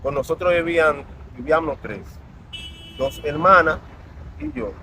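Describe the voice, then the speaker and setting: A man's voice: a little high, unhurried. Earnest man telling his story. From Dominican Republic. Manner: earnest, reflective. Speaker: interview guest. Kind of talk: personal storytelling in an interview. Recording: outdoor traffic noise.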